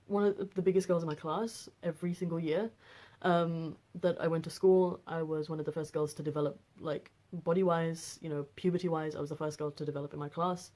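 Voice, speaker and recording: Deep-voiced young woman rambling casually. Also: darkish tone. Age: 20s. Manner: rambling, frequent fillers and restarts. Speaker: vlogger. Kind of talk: casual personal vlog.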